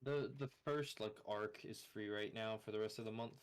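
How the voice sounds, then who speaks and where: A man with a bassy voice talking over voice chat on his own mic, bantering with a teasing, deadpan humor. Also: moderate pace, clear, resonant tone. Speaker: friend on voice chat. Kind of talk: casual banter. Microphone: own microphone over voice chat.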